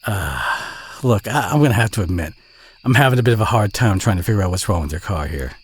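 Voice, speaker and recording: A man playing a friendly, modest country boy with a Southern US accent, chatty and gently teasing in an intimate audio roleplay. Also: on the low side, lively intonation, fast, breathy edge. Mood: easygoing, curious. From southern United States.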